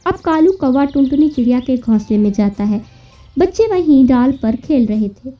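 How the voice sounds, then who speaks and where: A woman's voice: high voice, lively intonation, moderate pace, dark tone. A woman narrating a children's cartoon story as a scripted storyteller. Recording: muffled, distant-sounding, music behind.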